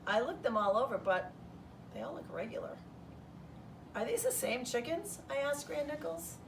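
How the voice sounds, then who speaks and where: A woman reads a first-person children's story expressively, like a primary teacher. Her mid-pitched voice has a creaky edge. The mic sits at a distance, and faint noise runs underneath. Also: expressive, normal speed. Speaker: third grade teacher reading aloud.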